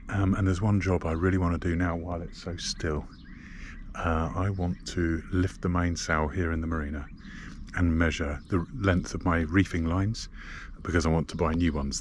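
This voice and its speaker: A man's voice: low voice, steady tone, normal speed. A man talking casually to viewers as a vlogger, in an informal, chatty narration. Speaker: sailing vlogger. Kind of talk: casual vlog talk to camera. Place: aboard a sailboat in a marina. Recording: clear background ambient noise.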